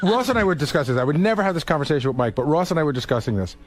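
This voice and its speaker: Fast-talking man, slightly high voice. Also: lively intonation, background noise. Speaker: radio show staff member. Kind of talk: casual talk radio banter.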